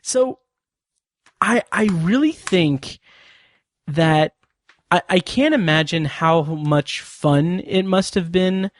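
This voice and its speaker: A man co-hosting a podcast in casual chat, halting and searching for words, his voice getting hoarse. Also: sits high, no room sound. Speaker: podcast co-host.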